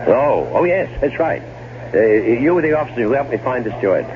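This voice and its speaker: A man acting in a 1970s American radio drama as a fussy, nervous middle-aged gentleman traveler who also narrates. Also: mid-range voice, big pitch swings, a little fast, lightly acted. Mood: nervous, flustered. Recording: dull 1970s radio recording, loud noise.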